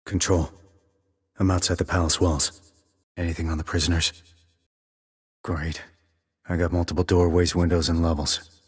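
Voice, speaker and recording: An actor playing a terse, cool-headed sniper operative in a video game, with clipped, controlled military delivery and a low husky voice. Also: male voice, level tone, moderate pace, pauses often, dry recording, studio quality. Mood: focused, controlled. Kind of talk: military radio chatter, game dialogue.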